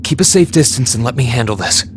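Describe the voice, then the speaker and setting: A young male hero voiced by an actor in a video game, earnest and protective. His mid-pitched voice moves with lively inflection, polite and steady, over faint background noise. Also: quick pace, close to an everyday voice. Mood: focused.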